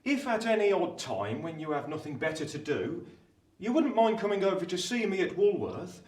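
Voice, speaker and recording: A man playing a dry, deadpan Victorian law clerk, speaking deliberately in a radio play. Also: sits high, far from the mic. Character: dry, matter-of-fact male London law clerk. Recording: echoey room, off the mic.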